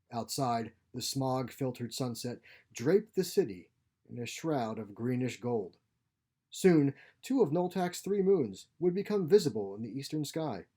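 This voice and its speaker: A man narrates a science fiction short story in a mellow, dark-edged voice at medium pitch. The delivery is scripted, like an author reading an audiobook. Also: slightly rough.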